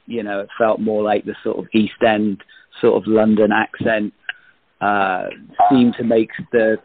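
A man chatting casually as an actor in an interview, explaining things in a reflective, measured way. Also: medium pitch, no room sound. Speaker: radio theater actor being interviewed. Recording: dry, tinny phone-like line.

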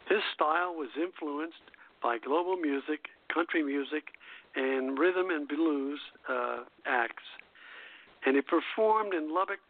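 Higher-pitched man on a tinny phone line. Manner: halting delivery with stumbles over words. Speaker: radio show host. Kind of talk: reading prepared music and airline history aloud. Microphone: phone call-in line.

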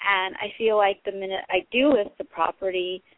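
A woman's voice: mid-range voice, steady tone, normal speed, dry recording. A woman calling in to a radio show who explains her situation hesitantly, with many fillers. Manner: hesitant, many "um"s and "you know"s. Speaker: caller. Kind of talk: phone call to a radio show. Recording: tinny phone line, dry.

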